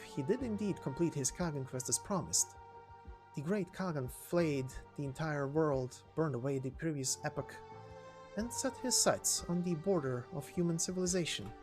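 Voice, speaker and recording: A man voicing a calm old knight who speaks formally and solemnly, like a storyteller in a game, with music behind. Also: a little high, lightly acted. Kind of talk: dramatic reading of game dialogue. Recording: voice over background music.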